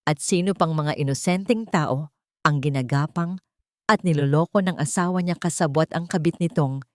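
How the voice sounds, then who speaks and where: Low-voiced woman narrating a drama. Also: normal speed, dry recording, clean studio sound. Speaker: storyteller, narrator.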